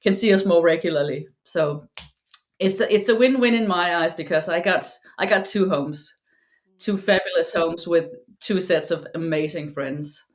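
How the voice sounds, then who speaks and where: Danish woman, lowish voice, halting. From Denmark. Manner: halting, frequent restarts and repeated words. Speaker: guest. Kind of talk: casual chat.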